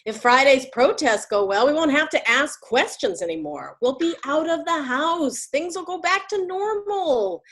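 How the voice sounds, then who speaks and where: A woman's voice: sits high, animated, unhurried, hardly any pauses, fairly bright tone. A woman acting an anxious, apologetic activist in a stage comedy over a video call, earnest and hesitant. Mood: hopeful. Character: anxious, apologetic female activist with a strict wife.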